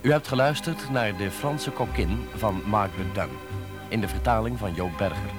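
A male radio announcer reads scripted credits for a radio play. His voice is fairly low, with a formal, measured delivery. Music plays clearly behind him.

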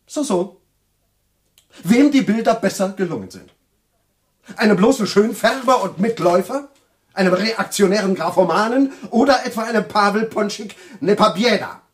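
Radio drama role: touchy male Soviet writer, higher voice, declamatory and quick to take offence. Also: expressive. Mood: offended, indignant. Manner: theatrical, indignant, declamatory. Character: vain, touchy male Soviet novelist, easily outraged. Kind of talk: 1980s German radio play acting.

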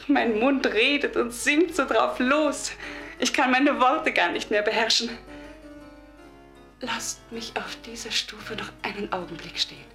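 A meek, gravely ill wife in a radio play. She speaks slowly and solemnly, with halting fervour that can sink towards a whisper. Faint music sits around the voice. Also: fairly high pitch, a bit bright. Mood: awed, fervent. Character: meek, devout, gravely ill wife bidding life farewell. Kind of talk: radio drama monologue.

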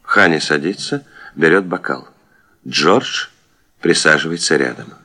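Deep radio play narrator. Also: male voice, measured pace, sharp tone, exaggerated voice. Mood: detached. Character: detached male narrator reading stage directions. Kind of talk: scripted stage-direction narration.

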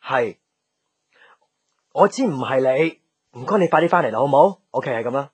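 An actor playing a busy, lovelorn young office man in a Cantonese radio drama, with a Hong Kong accent and a husky, breathy voice. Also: medium pitch, lively intonation, moderate pace, a little mellow, no room sound. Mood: pressed, busy.

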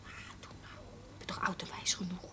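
A woman's voice: brisk, whispered, lightly acted. An actress playing a cheeky, eager young working-class woman, colloquial and coaxing, in a television drama. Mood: hushed coaxing. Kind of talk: 1970s Dutch television drama acting. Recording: slightly noisy old TV soundtrack.

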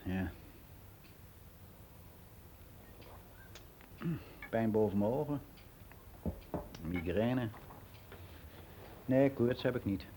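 A man's voice: fairly low pitch, slow, warm tone, a little hiss or hum. An actor playing a world-weary middle-aged man who rambles in a frank, confiding way in a radio play monologue. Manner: rambling, confiding, matter-of-fact.

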